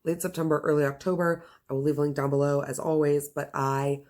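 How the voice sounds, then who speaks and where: Woman, low voice, casual book reviewer, enthusiastic, gushing, emphatic phrasing, chatty vlog delivery. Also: flat delivery, a little fast, darkish tone.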